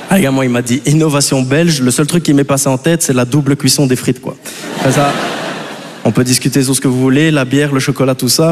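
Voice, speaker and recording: A man's voice: mid-range voice, darkish tone. Belgian guy doing standup, chatty and rambly, keeps talking to the crowd, voice rings out in a big hall. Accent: Belgian French. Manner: rambling and chatty, full of "tu vois" and "les gars" asides to the audience. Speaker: stand-up comedian. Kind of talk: live stand-up routine. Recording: long hall reverb from a live stage.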